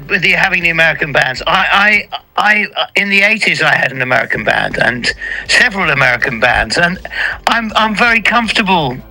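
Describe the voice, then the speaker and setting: A man in his sixties from South London, a singer being interviewed, tipsy and halting, restarting his sentences. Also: sits high, bright, forceful tone, low-quality recording. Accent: South London English. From England. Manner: halting, restarts, self-deprecating. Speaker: singer-songwriter guest. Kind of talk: casual interview.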